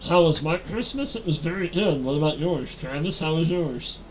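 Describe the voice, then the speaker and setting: A man's voice: fairly high pitch, normal speed, slight background noise, rough recording. A man in his forties with a nasal voice, chatting casually with viewers on a live stream while playing a game on a headset mic. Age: 40s. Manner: relaxed, talks to chat while playing.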